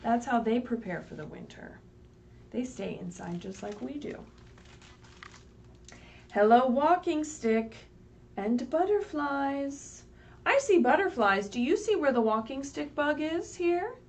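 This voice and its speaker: A woman with a high, very lively voice reads a picture book to small children. She takes her time, explains things simply and keeps checking in with little questions. She sounds a bit away from the mic, with faint noise in the recording. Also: measured pace, vocal fry. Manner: sing-song, asks questions and checks in with "right?". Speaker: children's librarian leading story time. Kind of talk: read-aloud picture book story time for young children. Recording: distant mic, faint background noise, livestream.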